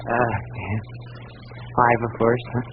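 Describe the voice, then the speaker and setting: A man's voice: mid-range voice, monotone, takes it slowly. A man playing a nervous, scrawny drunk informant with a stammering, wheedling manner in an old radio detective drama. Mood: sly, giggly. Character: whiny, nervous, scrawny barfly lush and informant. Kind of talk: 1940s-50s radio detective drama acting. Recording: tinny, hissy old radio recording.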